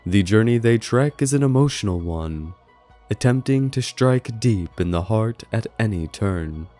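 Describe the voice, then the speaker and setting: A man's voice: fairly low pitch, expressive, unhurried, mellow. A man reading a scripted review as narration, in an earnest and formally worded way. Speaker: video essay reviewer. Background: music under the voice.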